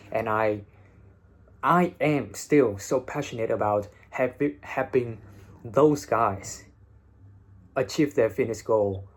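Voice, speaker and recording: A young man from Vietnam with a Vietnamese accent and a slightly husky voice, giving blunt, informal English-learning advice and swearing freely, recorded on a phone. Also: medium pitch. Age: young adult. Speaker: English teacher and video host. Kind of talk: casual advice talk to camera. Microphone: phone, no separate microphone.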